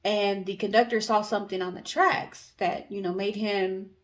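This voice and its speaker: A woman's voice: medium pitch, fairly even intonation, normal speed. A woman co-hosting a storytelling show, talking in a casual, conversational way with chatty explanatory asides. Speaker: co-host telling stories in a guessing-game show.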